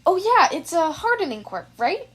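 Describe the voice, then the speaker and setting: A woman's voice: very high-pitched, big pitch swings, normal speed, a bit bright, voice pushed up, exaggerated voice. A woman voicing a nervous, eager, brainy teenage boy who rambles and apologizes in a fan fiction reading. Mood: flustered. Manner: rambling, apologetic, self-effacing. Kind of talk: fan fiction audiobook character voice.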